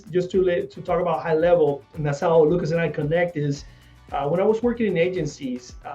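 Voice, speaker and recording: Male guest, slightly high and warm, friendly, dull lo-fi audio. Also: far from the mic. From Puerto Rico. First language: Spanish. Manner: enthusiastic, friendly. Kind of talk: casual chat.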